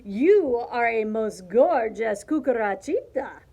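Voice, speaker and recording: A woman's voice: a little high, lively intonation, slow pace, creaky, mellow, heavily acted. A woman voicing a bold, flirtatious rooster suitor in a storybook, crowing and drawing out the words.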